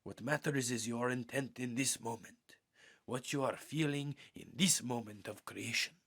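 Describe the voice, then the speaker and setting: A man reading a spiritual audiobook in an earnest, measured, meditative way, with deliberate pauses and a husky, gravelly voice. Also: medium pitch, fairly bright tone. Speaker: audiobook reader.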